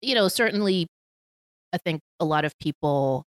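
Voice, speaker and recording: Low-pitched american-accent woman, chatty guest, laughs a lot, dry mic. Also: lively intonation, moderate pace, no echo. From Hong Kong. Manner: chatty, laughs often between phrases. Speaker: podcast guest. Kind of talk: casual conversation.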